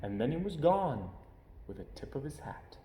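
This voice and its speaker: A man's voice: a little high, very animated, moderate pace, warm tone, roomy sound, slight background noise. A man reading a children's story aloud as a storyteller, with theatrical, emphatic delivery of the rhymes.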